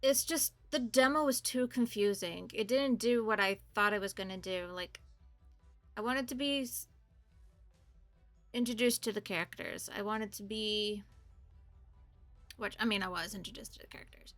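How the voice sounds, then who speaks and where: A woman's voice: medium pitch, normal speed, long pauses, faint music behind. A woman giving casual, blunt gaming commentary as a streamer, with informal, sweary phrasing. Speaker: game streamer reacting to and reviewing a demo.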